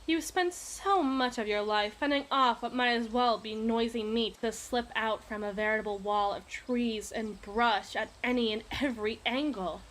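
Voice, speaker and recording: A woman's voice: a little high, normal speed, few pauses, fairly bright tone, close to an everyday voice, low-quality recording. A woman playing a possessive, imperious evil queen in an ASMR roleplay, speaking in formal, teasing, theatrical diction. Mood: teasing, sardonic.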